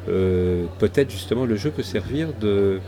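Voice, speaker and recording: A man's voice: fairly low pitch, animated, a little slow. A middle-aged man from southwest France, talking in a rambling, hesitant way as an interview guest. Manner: hesitant, many fillers and restarts. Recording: noisy crowd around.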